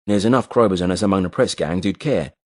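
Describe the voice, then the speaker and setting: A man's voice: deep voice, fast talker, dry recording, clean studio sound. A man voicing an uneasy, stubborn adult man in an audiobook, insistent but unsure. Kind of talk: audiobook character voice.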